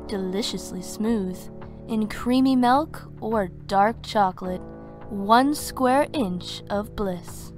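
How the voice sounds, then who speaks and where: A woman's voice: mid-range voice, slow pace, soft background music. A woman reading scripted commercial voice-over lines with a polished promotional delivery. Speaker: voice-over artist.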